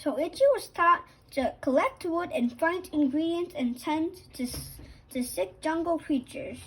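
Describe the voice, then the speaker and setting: A woman reading a picture book aloud for children, in a slightly halting way. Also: extremely high pitch, slight background noise, low-quality recording. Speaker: children's story reader. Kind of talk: scripted storybook narration for children.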